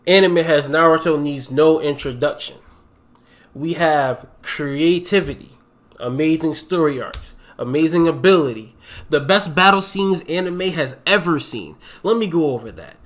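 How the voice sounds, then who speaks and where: A young man co-hosting a podcast, arguing his side in a casual debate, fast and animated. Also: on the high side. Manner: fast, animated, laughs and repeats himself.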